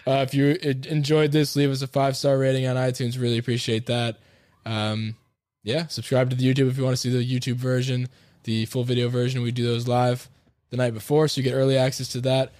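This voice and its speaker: A man's voice: mid-range voice, flat delivery, a little fast, a little mellow, no echo, clean studio sound. A man hosting a sports podcast, bantering casually and rambling in a joking way.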